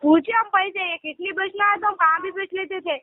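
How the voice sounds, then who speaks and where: A fifteen-year-old boy with a Hyderabadi accent speaks in a high voice. He sounds like a caller pleading and protesting in everyday conversation. The recording is a thin, dry phone line. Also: steady tone, fairly quick, dry recording. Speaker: caller asking for money. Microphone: telephone. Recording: tinny narrowband phone call recording.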